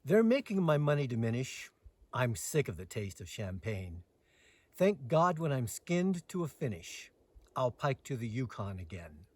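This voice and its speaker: Male poetry reader, husky mid-pitched voice, dramatic phrasing with soft line endings, recorded outdoors. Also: sing-song, a little slow. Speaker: host reading poetry aloud. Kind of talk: poetry recitation with casual introduction. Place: outdoors in the mountains.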